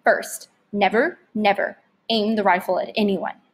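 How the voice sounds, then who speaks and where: A woman's voice: mid-range voice, fairly even intonation, unhurried, close to an everyday voice. A woman reading the part of a brusque father of few words in a storybook. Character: terse, gruff immigrant father set on a rat hunt.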